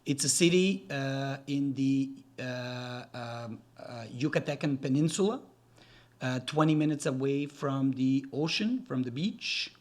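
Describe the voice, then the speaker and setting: A man in his forties, a native Serbian speaker raised in Quebec, talking as a podcast guest in a friendly, halting way full of fillers and restarts. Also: mid-range voice. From Serbia, raised in Gatineau, Quebec. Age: 40s. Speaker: podcast guest, real estate developer. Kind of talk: casual interview talk.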